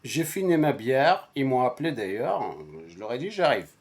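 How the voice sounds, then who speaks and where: A man whose first language is Arabic, telling a personal story casually in a halting, repetitive and emphatic way. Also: mid-range voice, sing-song. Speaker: storyteller recounting a personal anecdote to friends.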